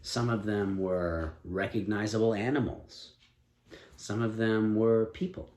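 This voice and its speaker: A man improvising a story as a storyteller, speaking in a deliberate, inquisitive and encouraging manner. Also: on the low side, fairly even intonation, darkish tone. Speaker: storyteller and co-host with a child. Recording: echoey room, faint background noise.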